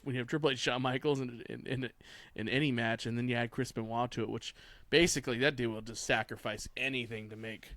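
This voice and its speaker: A man's voice: mid-range voice, steady tone, fast, a bit bright, dry recording. A man on a casual discussion panel, giving opinions in a blunt, rambling way with casual swearing. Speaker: podcast panelist. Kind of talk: casual opinionated sports chat.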